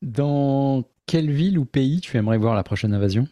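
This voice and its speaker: A man with a middle-pitched, mellow voice hosts a podcast interview. He asks questions in an easygoing way and keeps his replies brief. The recording is dry and close. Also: animated, normal speed, dry recording. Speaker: podcast host.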